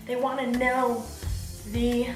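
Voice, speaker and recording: A woman in her twenties giving casual advice in a chatty vlog, with a bubbly, animated, informal manner. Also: fairly high pitch, takes it slowly. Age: 20s. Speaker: vlogger giving application advice. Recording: roomy echo, faint background music.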